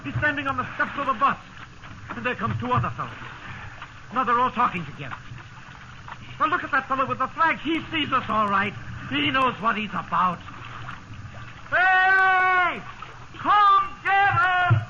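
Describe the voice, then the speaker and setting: An actor playing a commanding, reassuring sea captain adrift in a lifeboat, in 1950s radio drama style. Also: male voice, very high-pitched, big pitch swings, unhurried, long pauses, full character voice. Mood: excited hope. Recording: muffled noisy old radio recording.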